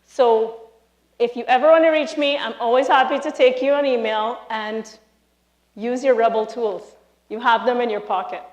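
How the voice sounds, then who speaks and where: A woman's voice: fairly high pitch, normal speed, highs cut off, slight background noise. Trinidadian woman giving an echoey stage talk. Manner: lively, emphatic, addresses audience directly. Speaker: speaker, university lecturer. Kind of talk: live conference talk. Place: large hall, live audience.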